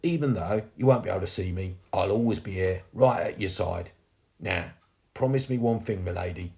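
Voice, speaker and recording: A man's voice: deep voice, moderate pace, creaky, warm tone. A man playing a cheeky ghost boy. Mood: gentle, earnest. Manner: colloquial, chirpy, teasing. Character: cheeky young ghost chimney sweep boy, warm-hearted cockney.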